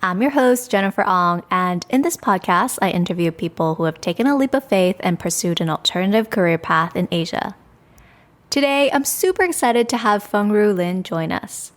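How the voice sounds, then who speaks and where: A woman's voice: medium pitch, animated, moderate pace. A woman hosting a podcast, reading an upbeat introduction and then asking her guest conversational questions. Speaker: podcast host. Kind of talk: podcast interview.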